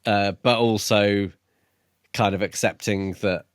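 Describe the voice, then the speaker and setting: A man with a fairly low voice, recorded close and dry, talks casually as a podcast co-host. He muses his way through book discussion, feeling for his phrasing and dropping in jokey asides. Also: steady tone, takes it slowly, clean tone, dry recording.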